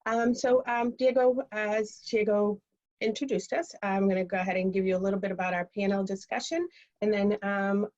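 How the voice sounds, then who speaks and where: A woman's voice: mid-range voice, darkish tone. A woman moderating a panel on a video call, reading out speaker introductions with frequent ums. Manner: reads bios steadily, with frequent ums. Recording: dull, lo-fi call audio.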